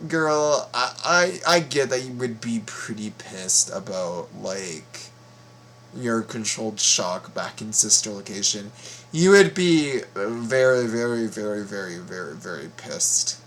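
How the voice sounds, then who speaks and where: A man hosts a casual reaction video at an unhurried pace. He often stalls and circles back over the same words. There is faint noise behind him. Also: on the low side, expressive, a bit bright. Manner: halting, repeats words and phrases.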